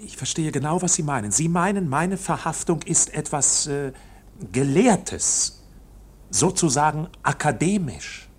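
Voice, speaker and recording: A man's voice: fairly high pitch, lively intonation, unhurried, slightly rough. A man playing an anxious, proud clerk in a radio drama, polite but uneasy and insistent. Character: anxious, proud male bank clerk under arrest.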